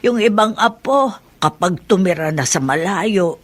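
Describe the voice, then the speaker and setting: A woman's voice: mid-range voice, lively intonation, unhurried. A woman playing an affectionate elderly grandmother from the province in a Filipino radio drama, with a Filipino accent. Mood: fond, gentle.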